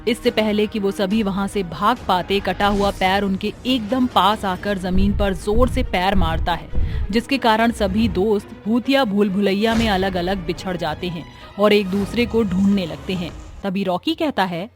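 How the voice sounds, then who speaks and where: A woman's voice: mid-range voice, normal speed. A woman telling a horror story as a scripted, dramatic narration. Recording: music under the voice.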